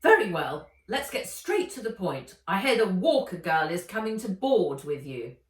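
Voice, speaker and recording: A woman's voice: lowish voice, sing-song, normal speed. A woman voicing an aged, imperious blackmailing villainess from a storybook, haughty, menacing and insistent. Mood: brisk, demanding. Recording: roomy echo, distant mic.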